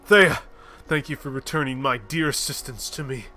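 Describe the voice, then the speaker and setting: Grand old-fashioned engineer chief read by a man, formal, bright voice, music under. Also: mid-range voice, normal speed, close to an everyday voice. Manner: archaic, formal phrasing.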